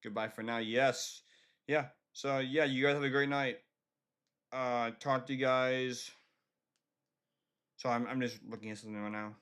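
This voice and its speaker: An American man hosts a casual livestream in a bright, forward voice at a middling pitch. He speaks haltingly, circling back and repeating his phrases as he addresses his viewers. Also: fairly even intonation, smooth. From Michigan, United States. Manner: halting, repeats himself often. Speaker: livestream host.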